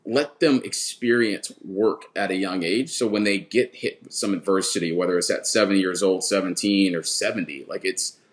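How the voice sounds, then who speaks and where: A man in his thirties, a guest coach, talking earnestly in a casual interview and repeating words as he builds a point. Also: fairly low pitch. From Washington, D.C. area. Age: 30s.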